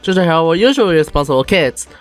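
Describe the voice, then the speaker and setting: A narrator reading a children's storybook aloud in an animated, theatrical way for a fan dub. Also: mid-range voice, racing. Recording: music behind the voice.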